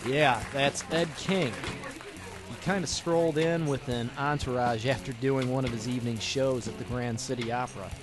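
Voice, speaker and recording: A man's voice: medium pitch, steady tone, normal speed, close to an everyday voice. Guy doing friendly young speakeasy boss, old radio play, medium voice, music in back. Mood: easygoing. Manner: easygoing, chummy. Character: young, friendly, well-to-do male speakeasy owner. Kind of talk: 1940s radio acting.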